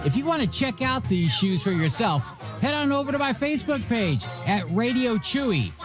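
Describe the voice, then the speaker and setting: A man hosts a music radio show in a high, very animated voice. He announces in a showy style, drawing out the ends of phrases and slipping in wry, playful asides. A music bed plays clearly behind his close studio microphone. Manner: showy announcer delivery with drawn-out phrase endings. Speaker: radio DJ and host. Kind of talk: music radio DJ patter, announcing songs and reading light news stories. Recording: radio broadcast with music underneath.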